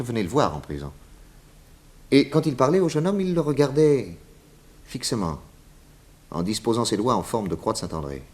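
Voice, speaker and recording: An actor playing a confident detective boss in a 1960s French radio serial, explaining in an emphatic, didactic, theatrical way with dramatic pauses. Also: male voice, medium pitch, lightly acted. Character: confident male detective boss explaining crimes to his assistant.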